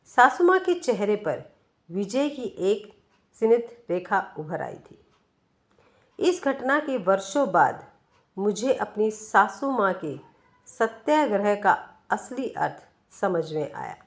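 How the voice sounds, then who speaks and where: Slow-paced woman narrating a story. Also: medium pitch, big pitch swings. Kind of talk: scripted first-person story narration.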